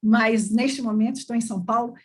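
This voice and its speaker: A woman's voice: a little high, fairly even intonation, a little fast. Effusive Bahian woman in an interview. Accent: Bahian Brazilian. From Salvador, Bahia, Brazil. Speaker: podcast guest, corporate HR executive. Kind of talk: conversational interview.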